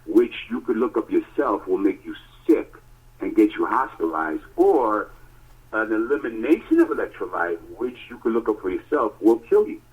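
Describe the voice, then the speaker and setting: Male podcast co-host, lively rambling storyteller, raspy voice, phone-quality audio. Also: on the low side, moderate pace. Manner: animated, emphatic storytelling with many fillers. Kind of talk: casual phone chat. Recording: tinny phone line.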